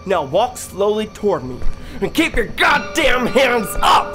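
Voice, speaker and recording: Male cop, played by an actor, aggressive barked orders, high voice with big swings. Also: huge pitch swings, a little slow, music in the background, heavily acted. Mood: forceful. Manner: barking orders. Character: aggressive, commanding police officer making an arrest. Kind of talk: audio drama acting.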